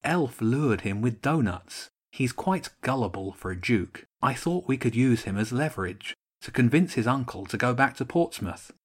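Storybook schoolgirl, read by a man: plucky, scheming, matter-of-fact, slightly rough-edged voice. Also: medium pitch, expressive, moderate pace, hardly any pauses. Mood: bold, matter-of-fact. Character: plucky young girl, bold and scheming.

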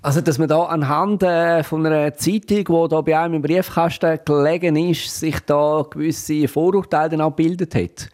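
A man's voice: a little high, fairly even intonation, moderate pace, few pauses, darkish tone. A man with a Swiss German accent interviewing someone, summarizing her answers and asking follow-up questions with hesitant fillers. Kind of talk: conversational interview.

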